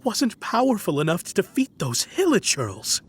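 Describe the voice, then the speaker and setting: A man's voice: high-pitched, wildly swooping pitch, normal speed, breathy edge, exaggerated voice. A man voicing a dismayed, gossiping villager in a video game. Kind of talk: video game character dialogue.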